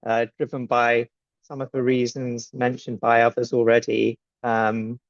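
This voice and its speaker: A man's voice: medium pitch, completely flat delivery, measured pace, dry recording. A man from the UK, introducing himself a little hesitantly as a webinar panellist. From United Kingdom. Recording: dull, lo-fi online call audio.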